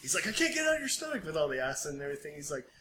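A man in his forties chats casually as a podcast co-host. His voice is bright and a little high, and he rambles, often hunting for the word. Some noise sits behind him. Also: big pitch swings, racing. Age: 40s. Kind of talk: casual chat. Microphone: podcast microphone. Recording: background noise, sometimes distant mic pickup.

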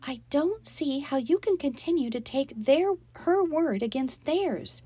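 An upset storybook mother, voiced by a woman narrator. She speaks in a raised, high pitch, indignant and pleading as she stands up for her own. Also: expressive, a little slow, warm tone, no room sound. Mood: exasperated. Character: upset, protective mother defending her children.

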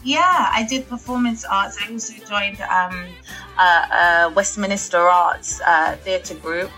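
A woman's voice: mid-range voice, slow, bright, forceful tone, faint music behind, low-quality recording. A young woman, a singer chatting casually as an interview guest, with lots of fillers and laughs. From England. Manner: chatty, laughs often, many fillers and restarts.

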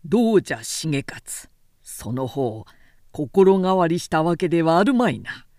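A lordly, doubting samurai clan commander, acted by a woman narrator. The speech is haughty and probing, slowly paced, with wide dips and rises in pitch. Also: big pitch swings, darkish tone, dry recording, studio quality. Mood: suspicious, probing.

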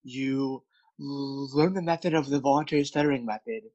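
A man's voice: medium pitch, normal speed, warm tone, dry recording, dull audio. A man who stutters, with repeated words and many fillers, speaking candidly as a guest about his work as a speech coach. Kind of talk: candid interview conversation.

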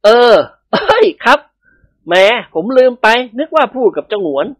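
A woman's voice: mid-range voice, big pitch swings, moderate pace, no echo, clean studio sound, voice pushed up. Woman playing a cheeky joking young man.

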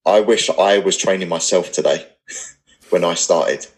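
Male podcast guest, 30s, low voice, everyday non-posh English accent, earnest and blunt, loose conversational delivery. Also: unhurried. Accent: English, not posh. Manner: earnest, rambling, blunt with swearing. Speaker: podcast guest, personal trainer business owner. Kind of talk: casual podcast interview, candid talk.

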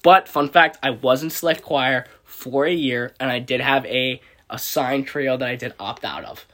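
A man's voice: medium pitch, moderate pace, bright, forceful tone. A young American man chatting casually with friends and telling stories in a slangy, joking way. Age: young adult. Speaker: podcast co-host. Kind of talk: casual chat and anecdotes with friends.

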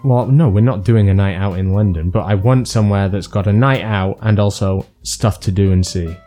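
A young man from Wales, co-hosting a podcast in casual chat, talking in a deadpan, rambling way and jumping between topics. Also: lowish voice, moderate pace, soft background music. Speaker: podcast co-host.

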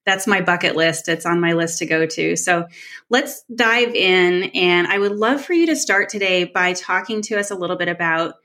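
Woman podcast host, upbeat n eager, kinda low voice. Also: normal speed, bright, forceful tone. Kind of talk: podcast interview.

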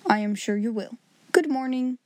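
A woman's voice: on the high side, moderate pace, darkish tone, dry recording, natural voice. Woman acting a cool, sly storybook businessman. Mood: slyly polite. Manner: unruffled, dry, insinuating. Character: cool, shrewd businessman with sinister politeness. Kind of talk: storybook character voice.

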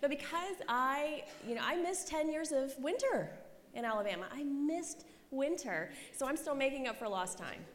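A woman's voice: high-pitched, animated, normal speed, a bit bright. A woman in her forties from the United States, giving a chatty, self-deprecating conference sermon to a live audience, often checking in with "right?" and "okay?". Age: 40s. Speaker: conference speaker, pastor's wife. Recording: reverberant hall, faint room noise.

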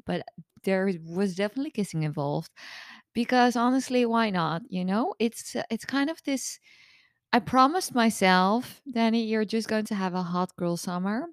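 A hoarse woman in her thirties who has lost her voice, a coach hosting her own podcast in a candid, chatty way. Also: medium pitch, unhurried, no room sound, studio quality. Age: 30s. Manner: candid, chatty, open-book. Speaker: podcast host and eating disorder recovery coach. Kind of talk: casual solo podcast monologue.

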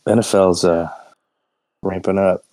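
Deep-voiced man, laid-back chat. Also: steady tone, measured pace, dark tone, no echo. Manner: laid-back, brief remarks, laughs. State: voice worn from a cold. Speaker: podcast co-host. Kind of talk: casual chat.

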